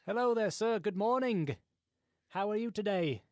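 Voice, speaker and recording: A man's voice: high voice, expressive, moderate pace. A man playing a chatty, eccentric market farmer in a radio comedy sketch, rambling in a folksy, matter-of-fact way. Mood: folksy sales patter.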